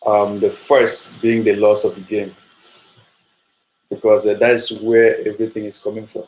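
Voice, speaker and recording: A man from Nigeria, a football coach giving a measured talk on his coaching philosophy with frequent fillers. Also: fairly low pitch, little pitch movement, darkish tone, low-quality recording.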